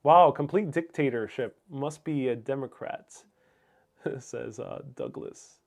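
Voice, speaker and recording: A man's voice: mid-range voice, slow pace, gravelly, darkish tone. A man whose first language is Spanish, hosting a livestream in a casual, musing, somewhat hesitant way as he reads and answers viewer comments. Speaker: livestream host.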